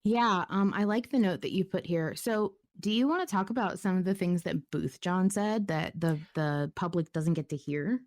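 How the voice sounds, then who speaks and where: Casting: female podcast host, slightly low voice, playful and wry, chatty delivery, very dry room sound. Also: normal speed, few pauses, darkish tone, no room sound. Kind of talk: casual podcast banter and discussion.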